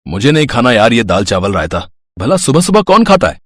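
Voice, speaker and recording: A fussy man of the house played by a male actor in a cartoon family tale. He grumbles in a husky, very animated voice, recorded close. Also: mid-range voice, fairly quick, no echo. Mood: irritated. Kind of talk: Hindi moral story cartoon voice acting.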